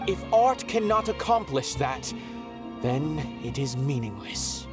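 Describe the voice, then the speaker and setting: An actor playing a free-spirited former star performer who now wanders, speaking in a theatrical, lofty way. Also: male voice, mid-range voice, big pitch swings, slow pace, music in the background. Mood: grand. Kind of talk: video game voice acting.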